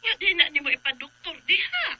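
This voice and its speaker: A woman's voice: very high-pitched, sing-song, fairly quick, bright, forceful tone, highs cut off, a little hiss or hum, heavily acted. A woman acting a concerned, exasperated mother in a radio drama, insistent and full of exclamations. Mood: urgent, insistent. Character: concerned, exasperated mother urging treatment for family.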